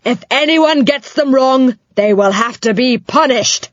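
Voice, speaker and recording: A woman's voice: high voice, unhurried, no echo, muffled recording, pitched above the performer's own voice. A woman voicing a stern, bossy old schoolmistress who snaps and scolds in a storybook reading. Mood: commanding. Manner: snapping, scolding, commanding.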